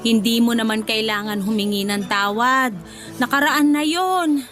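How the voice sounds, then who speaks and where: Older Filipina nanny, motherly, affectionate, humble, slightly high voice, radio drama, background music. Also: measured pace, fairly bright tone. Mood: forgiving, tender.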